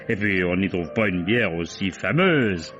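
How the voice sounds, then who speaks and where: A man's voice: low-pitched, animated, slow pace, fairly bright tone, heavily acted. An actor playing a shrewd, affable but insistent police commissioner in a vintage French radio mystery, courteous as he questions. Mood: genial, courteous. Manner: courteous, chuckling, probing interrogator. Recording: dull old radio recording, music underneath.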